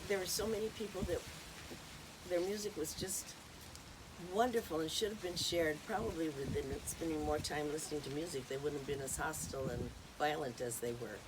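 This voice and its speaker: A woman's voice: deep voice, fairly quick. Chatty woman rambling with noise behind. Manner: chatty, rambling, many ums and uhs. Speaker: host. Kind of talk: casual spoken talk on music history.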